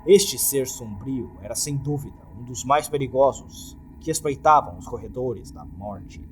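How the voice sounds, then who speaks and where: A man with a Brazilian accent reads a scripted horror story in a dramatic, expressive storytelling manner. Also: medium pitch, measured pace, slight background noise. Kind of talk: scripted dramatic creepypasta narration.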